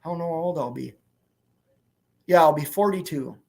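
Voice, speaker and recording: A man's voice: a little high, normal speed, gravelly, darkish tone. A man in his forties, a truck owner-operator, chatting candidly on a livestream in a rambling way and answering viewer comments. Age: 40s. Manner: rambling, candid, repeats "you know what I mean". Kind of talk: casual livestream chat reading comments.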